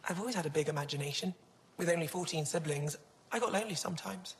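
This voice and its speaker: Actress as young alien relic curator, game dialogue. Low female voice, earnest and wistful, in a reverberant hall. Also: fast, lightly acted. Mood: thoughtful.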